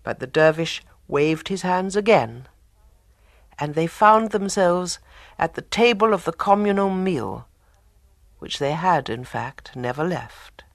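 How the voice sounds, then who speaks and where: A storyteller reading a tale as an audiobook, in a soft, breathy voice with measured delivery and dramatic pauses. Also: medium pitch, animated, no echo, clean studio sound. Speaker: storyteller narrator. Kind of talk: scripted audiobook story narration.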